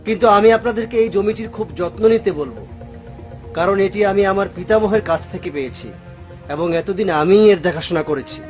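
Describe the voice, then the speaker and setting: Man acting a polite cartoon sheikh, careful, measured manner, high voice, faint music behind. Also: a little mellow, natural voice. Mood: measured, concerned. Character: courteous, careful male sheikh selling his inherited garden. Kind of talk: dubbed cartoon dialogue.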